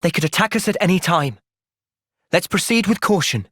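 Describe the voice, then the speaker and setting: A young man playing a thoughtful, earnest young soldier in video game cutscenes, speaking in a gentle, reflective manner. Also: high voice, lively intonation, moderate pace, no room sound, studio quality. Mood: calm, reflective.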